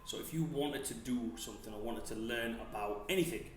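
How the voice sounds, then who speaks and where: A man's voice: mid-range voice, a little fast. Man in a very echoey room. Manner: relaxed, restarts and repeats words. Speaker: gym owner, interview guest. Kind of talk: casual interview chat. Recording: very echoey room, faint music.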